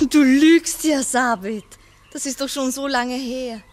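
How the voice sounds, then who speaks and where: A woman's voice: sits high, slow, a little mellow, slight background noise. An actress playing a trusting young girl in a 1960s radio play, speaking with dreamy wonder. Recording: 1960s mono radio recording with music.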